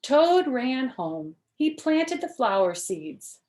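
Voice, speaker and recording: Slow-paced woman storyteller for children. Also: sits high, big pitch swings, darkish tone. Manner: addresses young listeners with questions and simple explanations. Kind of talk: expressive read-aloud with chatty asides to children.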